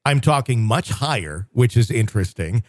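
A man's voice: mid-range voice, expressive, moderate pace, dry recording, studio quality. A middle-aged man hosting a podcast, telling stories in a loose, chatty way and laughing often. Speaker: podcast host. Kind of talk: casual chat.

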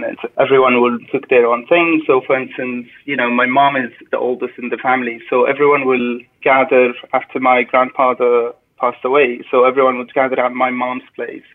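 A young man answers interview questions in a soft, thoughtful way, now and then restarting a phrase. His voice sits at a middle pitch for a man. It comes through thin and tinny, like a phone call. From Syria. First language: Arabic. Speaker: podcast guest. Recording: tinny phone-line sound.

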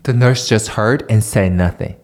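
A man's voice: on the low side, moderate pace, a little mellow. A man co-hosting a podcast who reacts with short, surprised comments. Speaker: podcast co-host. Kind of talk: casual reactions in conversation.

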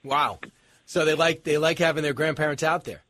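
A man hosting an interview, jokey and quick to laugh. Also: a little high, fairly even intonation, a bit bright, no room sound. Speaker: host. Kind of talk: casual interview.

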